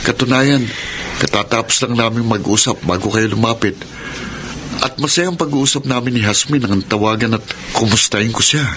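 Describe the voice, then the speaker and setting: A man's voice: mid-range voice, moderate pace, lightly acted. Actor as a conflicted young Filipino man, noisy backdrop. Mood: defensive. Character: conflicted young son and boyfriend, evasive, guilt-ridden. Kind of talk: Filipino radio melodrama acting. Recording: noisy radio drama with ambience.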